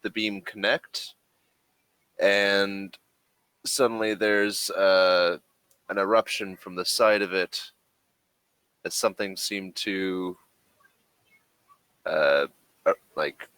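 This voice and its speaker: A man running a tabletop game as game master, talking casually and haltingly as he thinks rules through aloud. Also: deep voice, fairly even intonation, sharp tone, no echo.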